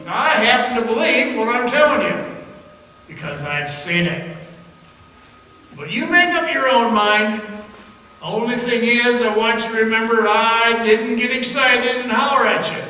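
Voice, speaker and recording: A man's voice: extremely high pitch, sharp tone, full character voice. An actor playing an earnest, haunted young man in a radio theatre monologue, confiding, insistent and jittery. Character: earnest, haunted young man recalling being lost underground. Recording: echoey hall, distant, faint noise.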